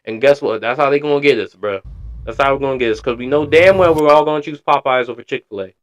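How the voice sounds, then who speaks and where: A man's voice: medium pitch, fast. A man streaming casual reaction commentary, slangy and blunt, swearing freely.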